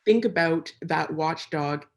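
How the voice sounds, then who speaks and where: A woman who works as a journalist, offering measured, thoughtful remarks as a panelist at a conference. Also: sits low.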